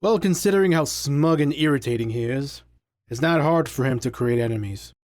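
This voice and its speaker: A man plays a confident, wry leader of adventurers in an audio drama. His mid-pitched voice is measured and articulate, recorded dry. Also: lively intonation, darkish tone, dry recording, close to an everyday voice. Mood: wry, scheming.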